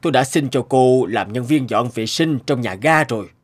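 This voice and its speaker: A man's voice: medium pitch, close to an everyday voice. Man playing a kindly, earnest older policeman. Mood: earnest, firm. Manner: earnest, measured, moralizing. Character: kindly, principled older male policeman, grandfatherly and firm. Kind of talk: animated cartoon dubbing.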